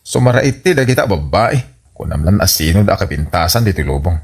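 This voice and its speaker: A man's voice: sits low, very animated, a little fast, heavily acted. A man with an Ilocano Filipino accent playing a blunt, stubborn middle-aged father in a radio comedy drama. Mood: gruff, dismissive. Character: grumpy, stubborn father who shoos away women visitors.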